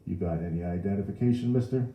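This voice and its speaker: A man's voice: low voice, fast talker, dark tone. A man voicing an officious, brusque policeman who makes demands with shaky authority. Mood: demanding. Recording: roomy echo.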